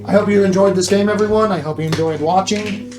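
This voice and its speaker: A man's voice: high-pitched, fast talker, music in the background. A man narrating a tabletop role-playing game as game master.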